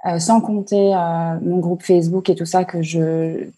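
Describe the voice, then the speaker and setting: A woman coach answering interview questions on a video call, halting and full of fillers and restarts. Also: lowish voice, steady tone, warm tone, husky. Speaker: online coach and entrepreneur.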